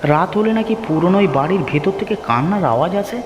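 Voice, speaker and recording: Man playing an earnest, high-voiced village husband. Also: lively intonation, a little fast, muffled recording, noisy background. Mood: startled, wary. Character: poor, hardworking village husband who gives in. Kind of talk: cartoon story dialogue. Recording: music behind the voice.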